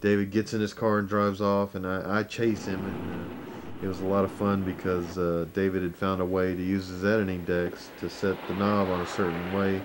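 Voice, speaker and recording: A man's voice: low-pitched, little pitch movement, moderate pace, noisy background. A middle-aged man casually recalling his amateur acting in an interview. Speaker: former amateur actor being interviewed.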